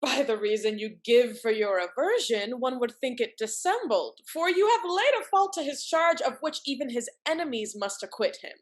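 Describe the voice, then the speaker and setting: A woman acting a sharp-witted, effusive married lady in a Restoration comedy, theatrical and mannered. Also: high voice, sing-song, moderate pace, hardly any pauses. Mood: probing.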